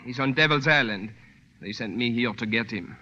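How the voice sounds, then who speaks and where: Solemn French patriot, mid-pitched, forceful and humble, dull vintage radio sound. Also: male voice, lively intonation, moderate pace. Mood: earnest, grave. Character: earnest, humble French resistance man, gravely formal. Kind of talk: 1940s radio drama acting. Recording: dull old radio recording.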